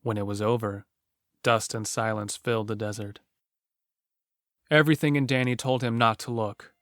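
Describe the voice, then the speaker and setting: A man's voice: fairly low pitch, steady tone, normal speed, fairly bright tone, no echo, clean studio sound. A man reading scripted narration for a horror audio drama, as a storyteller. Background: music under the narration.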